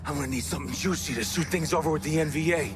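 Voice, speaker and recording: A man's voice: fairly high pitch, very fast, over loud music, close to an everyday voice. A man playing a bitter, menacing traitor officer in a video game cutscene, terse and threatening.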